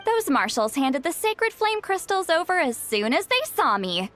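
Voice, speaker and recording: A woman's voice: extremely high pitch, very animated, normal speed, a bit bright, faint music behind, heavily acted. A woman voicing a self-assured young woman sage in a video game, matter-of-fact in tone.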